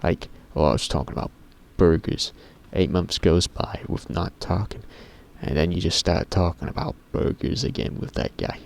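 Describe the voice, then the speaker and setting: A teenage boy with a very deep voice, rambling casually to camera in a vlog, often stopping himself mid-sentence and swearing offhandedly. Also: normal speed, vocal fry, few pauses. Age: teens. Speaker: YouTuber.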